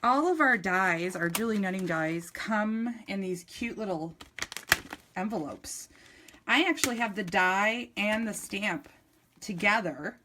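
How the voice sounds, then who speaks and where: A woman's voice: mid-range voice, lively intonation, measured pace, noisy background. A middle-aged woman with an upstate New York accent, chatting enthusiastically through a live craft demonstration with frequent ums and restarts. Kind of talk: live-streamed craft demo.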